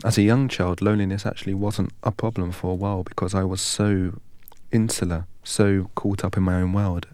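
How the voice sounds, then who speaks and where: A man from England, a guest in an interview, telling his story in a measured, deliberate way. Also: low-pitched, little pitch movement, a little mellow, no room sound. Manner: measured and deliberate, recites long strings of numbers evenly.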